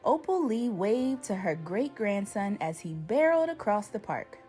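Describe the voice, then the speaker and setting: A woman reading a children's storybook aloud as scripted narration, with expressive, deliberate phrasing. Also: mid-range voice, faint music behind. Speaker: children's book reader.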